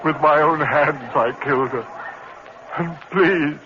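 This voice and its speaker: Haunted killer, frantic and overwrought, high and piercing, vintage horror drama, hissy old recording. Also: male voice, measured pace, sharp tone. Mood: grief-stricken, resigned. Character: anguished condemned murderer haunted by a demon woman. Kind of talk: 1950s radio-style horror drama acting. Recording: dull, noisy old 1950s broadcast recording.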